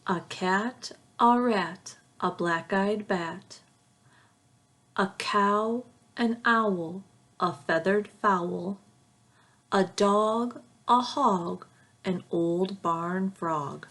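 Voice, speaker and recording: Slow, deliberate woman reading a storybook. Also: mid-range voice. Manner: expressive, deliberate, rhythmic phrasing for children. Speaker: teacher reading a picture book aloud. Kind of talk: children's storybook read-aloud.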